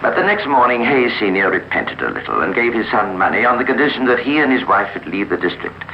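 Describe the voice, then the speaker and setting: A man narrating a 1950s radio drama in a measured, formal storytelling manner. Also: lowish voice, sing-song. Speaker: narrator and host. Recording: muffled, hissy old radio recording.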